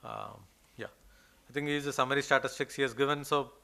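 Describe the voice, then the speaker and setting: A man's voice: medium pitch, fast talker, gravelly, sharp tone. A man with an Indian English accent presenting research slides at a conference, hesitant and full of fillers. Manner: hesitant, many fillers and restarts. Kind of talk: presenting research slides, then answering questions. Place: conference hall.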